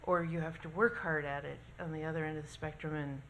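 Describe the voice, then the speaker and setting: A woman's voice: sits low, steady tone, fast talker, fairly bright tone, a little hiss or hum. A woman in the audience builds up a question in a searching, hesitant way. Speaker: audience member.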